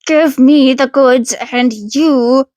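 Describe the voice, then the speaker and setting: Woman playing a pushy, high-voiced male mugger. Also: fairly even intonation, slow pace, a little mellow, no echo, highs cut off. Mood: pushy, threatening. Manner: casual street-tough wheedling and threatening. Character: pushy, desperate armed male mugger with sharp teeth. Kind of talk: storybook character acting.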